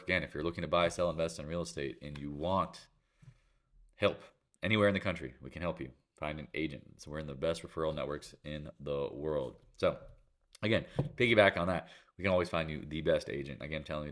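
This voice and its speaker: A man's voice: sits low, fairly bright tone. An American man with a head cold gives a casual market recap as host, in clipped, slangy phrases with a congested nose and throat. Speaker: channel host, trader and real estate agent.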